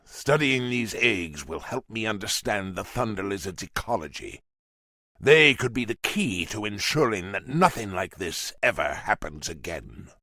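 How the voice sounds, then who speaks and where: An old orc sage from a fantasy game, lowish in pitch with lively swings. He is scholarly and thoughtful, a calm mentor recorded close and dry. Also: measured pace, no echo, full character voice. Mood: pleased, curious. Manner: thoughtful, expressive, explanatory. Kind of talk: video game voice acting.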